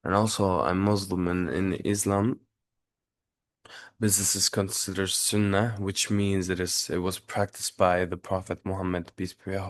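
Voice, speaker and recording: A young man from Turkey delivers a prepared class presentation in a very low voice that barely rises or falls. He speaks earnestly, stumbling into small restarts and fillers. The recording is dry and close. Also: no echo. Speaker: student giving a class speech.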